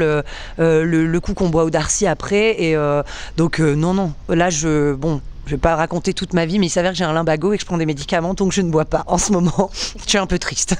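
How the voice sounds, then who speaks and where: A woman's voice: fairly low pitch, a little mellow. A woman comedian chatting casually in an interview, full of fillers and laughing. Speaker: comedian guest.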